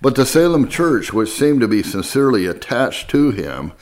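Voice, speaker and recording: A man narrating a historical text in a measured, formal audiobook style. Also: medium pitch, big pitch swings. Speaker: narrator reading history aloud.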